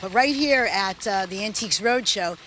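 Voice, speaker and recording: A middle-aged woman from Massachusetts, an antiques appraiser, talking in a chatty, animated way in a live TV interview outdoors. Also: mid-range voice, a little hiss or hum. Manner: chatty, animated, quick bursts with laughs.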